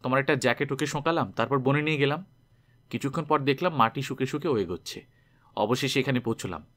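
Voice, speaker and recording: A man's voice: mid-range voice, fast, a bit bright, lightly acted. Man playing an urgent, inquisitive young man. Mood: explaining, curious. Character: worried, inquisitive young man, loyal brother.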